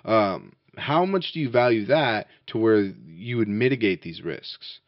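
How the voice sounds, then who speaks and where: A young man chatting casually and asking questions, halting often with fillers and restarts. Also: on the low side, very animated, no echo. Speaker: interviewer. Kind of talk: casual conversation. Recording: dull, dry, muffled sound.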